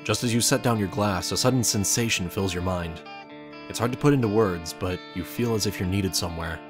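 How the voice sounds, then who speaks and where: Low-voiced man narrating a story. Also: fairly quick, over music. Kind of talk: scripted second-person fan fiction narration.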